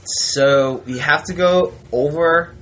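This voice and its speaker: Bright-voiced chatty host from Mexico. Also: male voice, a little high, slow. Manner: chatty, laughs easily, filler-heavy. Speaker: podcast host. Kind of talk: casual podcast interview. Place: church campus center office. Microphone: phone on a table.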